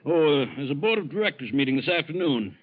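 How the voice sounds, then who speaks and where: An actor playing a brisk, paternal male bank executive in a 1940s radio drama style. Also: on the high side, lively intonation. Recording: muffled, lo-fi 1940s radio recording.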